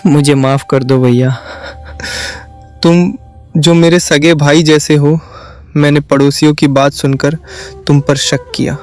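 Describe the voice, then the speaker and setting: A man's voice: a little high, little pitch movement, a little slow, long pauses, darkish tone, smooth, faint music behind, natural voice. A man voicing a stern, blunt householder in an emotional cartoon family drama. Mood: remorseful. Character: stern suspicious householder, quick to accuse his relative. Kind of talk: dramatic cartoon story dialogue.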